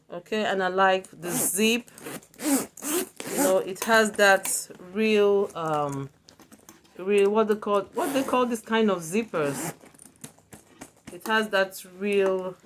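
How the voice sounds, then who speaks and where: Casting: female vlogger, Nigerian accent, unhurried delivery, mid pitch, casual review style with emphatic repetition. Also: slight background noise. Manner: repeats words for emphasis. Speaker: video blogger reviewing handbags. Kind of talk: casual product review to camera.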